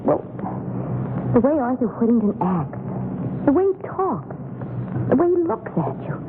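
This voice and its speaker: Jittery but plucky society lady, animated pitch swings, crackly narrow 1930s radio sound. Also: measured pace. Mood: anxious, hushed. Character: nervous, plucky society lady companion of a sleuth. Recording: scratchy, narrow-band 1930s radio recording.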